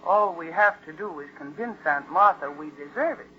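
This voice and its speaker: An actress playing a sardonic, scheming Broadway star in a 1940s radio drama, arch and mocking with theatrical endearments. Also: female voice, sits low, lively intonation, normal speed, faint music behind. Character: sardonic, scheming, glamorous young stage actress sister. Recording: muffled vintage radio drama recording.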